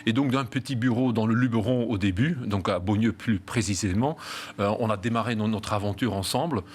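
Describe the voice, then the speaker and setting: A middle-aged man with a Flemish accent and a low voice, answering interview questions in halting bursts with plenty of fillers. A faint hum of room noise sits behind him. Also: darkish tone. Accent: Belgian Flemish. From Belgium (Flanders). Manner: hesitant, many fillers and restarts. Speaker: real estate company founder.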